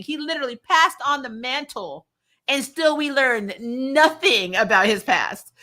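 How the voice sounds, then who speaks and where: A woman hosting a casual pop-culture review chat, joking and swearing freely as she talks. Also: a little high. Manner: animated joking, swears freely, laughs often. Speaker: host of a review show.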